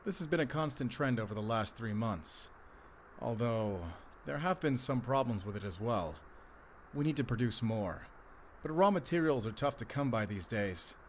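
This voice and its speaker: Audio drama: male actor as drug ring manager, medium pitch, businesslike, deferential, slight background noise. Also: lively intonation, normal speed, close to an everyday voice. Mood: businesslike, confident. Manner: businesslike, explanatory, polite. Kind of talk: roleplay audio drama acting.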